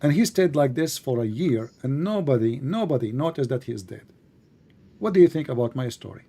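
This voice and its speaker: Dark-voiced man, teasing debater. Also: mid-range voice, lively intonation, fairly quick, husky. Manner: teasing, sarcastic, questioning in plain broken English. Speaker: debate host. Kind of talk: live online debate, conversational.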